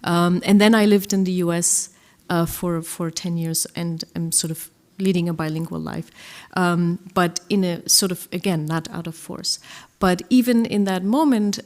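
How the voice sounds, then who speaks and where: A middle-aged woman from Germany, a poet answering on a panel in a thoughtful, halting way with many fillers. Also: fairly low pitch, fairly even intonation. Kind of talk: live literary conversation.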